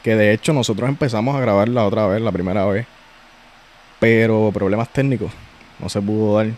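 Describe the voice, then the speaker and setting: A man with a Puerto Rican accent hosting a podcast, chatting casually in a slangy, relaxed way with frequent restarts. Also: lowish voice, slight background noise. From Puerto Rico. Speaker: podcast host.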